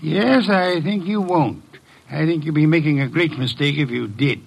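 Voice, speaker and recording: An actor playing an imperious, brilliant older private detective, gruffly dismissive and precise in his diction, in 1950s radio drama style. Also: on the high side. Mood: smug, commanding. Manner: pompous, precise, deliberate diction.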